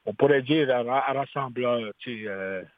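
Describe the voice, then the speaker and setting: A man, a singer-songwriter guest on a radio interview, talking haltingly with many restarts and fillers. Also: mid-range voice, no echo. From Quebec. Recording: tinny phone line with faint hiss.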